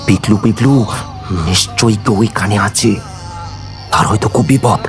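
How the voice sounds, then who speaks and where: A brash young city man, played by a man, answers in a low, brisk voice with a dismissive edge. It is a radio-play style read with faint music underneath. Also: lightly acted. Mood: urgent. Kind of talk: Bengali audio horror drama.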